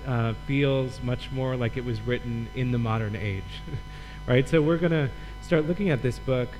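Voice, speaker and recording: A man from Michigan, a guest preacher and Old Testament professor, teaching a sermon in a relaxed, lightly joking way. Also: medium pitch, normal speed, faint music behind, poor audio.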